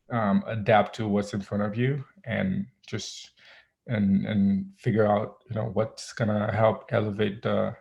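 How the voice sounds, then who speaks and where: A low, gravelly-voiced man talks easily as a guest, drifting through ums and restarts. His voice comes through a dull, lo-fi recording. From Haiti. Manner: relaxed, many ums and restarts. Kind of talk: casual interview chat. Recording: dull lo-fi audio.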